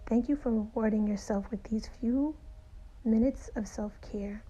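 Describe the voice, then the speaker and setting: A woman narrating a guided meditation in a soothing, unhurried way with deliberate pauses and soft, breathy phrase endings. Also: fairly high pitch, low-quality recording. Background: music.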